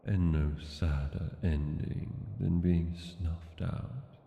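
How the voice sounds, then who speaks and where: A man playing an ancient, brooding dragon king in a fantasy audio roleplay, speaking tenderly and deliberately to a lover, with dramatic pauses. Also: steady tone, full character voice. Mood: grave, musing. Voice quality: gravelly low voice. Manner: slow, deliberate, hushed storytelling with dramatic pauses. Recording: echoey cavern-like reverb, dull, noisy.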